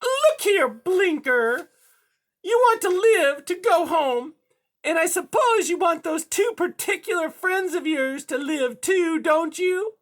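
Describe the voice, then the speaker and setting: A woman's voice: very high voice, animated, slow, pitched above the performer's own voice, full character voice. A woman voicing a sneering, bullying female cat villain in a children's story, with a menacing, snarling manner.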